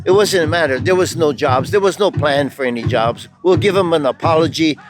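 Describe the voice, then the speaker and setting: Older male, somewhat higher voice. Earnest, rambling activist speech with halting pauses, music playing underneath. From Hawaii.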